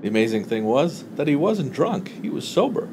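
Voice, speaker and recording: A man narrating a literary short story as an audiobook reader, with expressive delivery. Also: medium pitch, normal speed, background noise.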